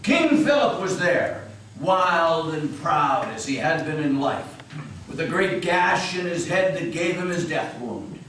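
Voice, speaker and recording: Male narrator for a dramatic reading: unhurried, deliberate, theatrical, heard from a distance in a reverberant hall. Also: fairly high pitch, expressive, fairly bright tone. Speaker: story narrator. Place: meeting house. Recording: echoey hall, distant mic, faint noise.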